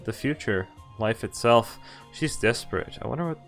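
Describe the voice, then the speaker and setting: Guy streaming a visual novel, mid-pitch voice, reads the story out loud and gets hyped about menus, faint music in the back. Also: moderate pace. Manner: excitable, thinks aloud, reads story lines in first person. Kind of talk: live game commentary and reading text aloud.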